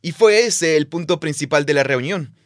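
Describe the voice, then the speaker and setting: Male podcast co-host, measured and reflective, dead-dry studio sound. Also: a little high, dry recording. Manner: thoughtful, measured, with filler "eh". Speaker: podcast co-host, Bible teacher. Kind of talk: casual conversation, storytelling.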